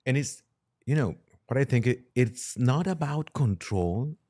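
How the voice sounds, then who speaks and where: A man's voice: medium pitch, lively intonation, a little slow, mellow, slightly rough. A man, a priest appearing as a podcast guest, talking conversationally about religion and earnestly restating his points.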